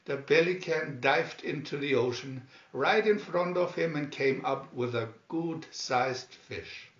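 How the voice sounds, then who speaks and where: A man narrates a children's story expressively and unhurriedly. His voice sits fairly high and moves around a lot. The recording is slightly muffled, with noticeable room echo. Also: slow pace. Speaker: storybook reader.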